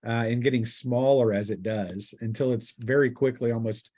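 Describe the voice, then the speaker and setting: Male game master for a tabletop fantasy session; mid-range voice, improvised narration, thinks aloud with halting pauses. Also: medium pitch, steady tone, mellow.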